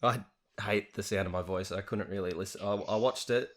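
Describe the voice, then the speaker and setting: A young male podcast host with a fairly low voice chats casually. He is talkative and self-deprecating, and he laughs often. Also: fairly even intonation, brisk, fairly bright tone. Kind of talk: casual interview chat.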